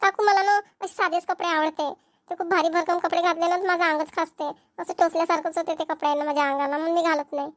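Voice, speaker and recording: A woman's voice: very high voice, fairly even intonation, fast talker. A woman voicing a chatty, fussy young village girl in a cartoon comedy, with a Vidarbha Marathi accent. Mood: chatty, frank.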